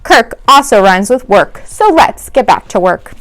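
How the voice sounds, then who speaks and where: A woman's voice: medium pitch, sing-song, measured pace. A woman voicing a firm, orderly female teacher in a children's storybook, giving instructions.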